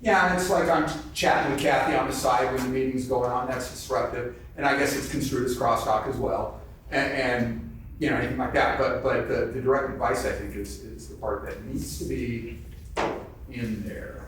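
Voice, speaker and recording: A man's voice: medium pitch, steady tone, moderate pace, a bit bright. A man sharing informally in a group discussion, conversational and hesitant, often repeating his phrases. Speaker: twelve-step meeting member sharing. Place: large echoey indoor convention room. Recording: echoey room, distant mic, faint noise.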